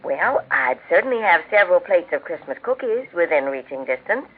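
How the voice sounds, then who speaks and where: An American woman with a genteel, measured, instructive manner, speaking scripted homemaking talk as host of a 1940s radio cooking show. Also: lowish voice, sing-song, breathy edge. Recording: tinny band-limited 1940s radio recording.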